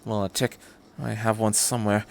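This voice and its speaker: A man's voice: on the low side, little pitch movement, moderate pace, gravelly, pitched below the performer's own voice. A man voicing an upbeat, eccentric male traveller who rambles in British slang, in a storybook reading. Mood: musing.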